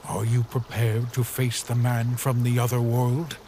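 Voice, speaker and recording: A man's voice: mid-range voice, monotone, measured pace, noisy background, natural voice. A man voicing a cryptic hooded ferryman in a fan comic dub, speaking in dreamy sing-song riddles. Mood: mysterious.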